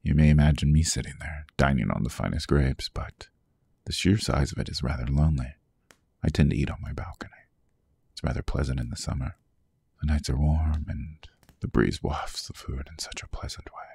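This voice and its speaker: A man playing a suave, possessive vampire lord in a hypnotic ASMR roleplay, hushed and often whispering, with courtly old-fashioned diction. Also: brisk, full character voice. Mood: genteel, attentive. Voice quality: very deep, gravelly, husky.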